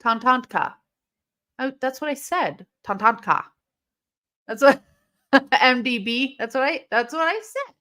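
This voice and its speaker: A woman from Illinois hosting a live wrestling watch-along, chatting casually and rambling, with intense, sharp S sounds left from a lisp. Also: high voice, expressive, unhurried, long pauses. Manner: chatty and rambling, heavy use of "like". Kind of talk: casual live-stream chat reading comments.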